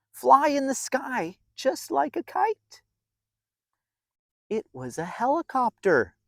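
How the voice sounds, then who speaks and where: A children's storyteller with a very slow, deliberate delivery and a close, dry sound. The reading is expressive and animated, with dramatic pauses, pitched as a read-aloud for young listeners. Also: gravelly, dry recording.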